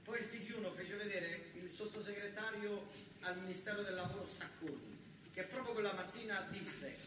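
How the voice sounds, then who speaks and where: High-voiced southern Italian man storytelling, echoey room. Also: normal speed. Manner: colloquial, emphatic repetition. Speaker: stage storyteller. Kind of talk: theatrical monologue, first-person narrative. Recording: roomy, distant, noisy live recording.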